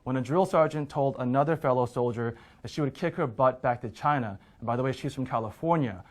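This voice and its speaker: A man, an Army veteran, giving an earnest, steady account to camera. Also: mid-range voice, brisk. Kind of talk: testimony to camera.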